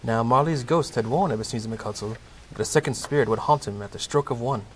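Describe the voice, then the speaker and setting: Medium-pitched male narrator, noisy recording. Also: fast talker. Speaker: narrator of a comedy audio play. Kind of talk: scripted storybook narration. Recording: clearly noisy amateur recording.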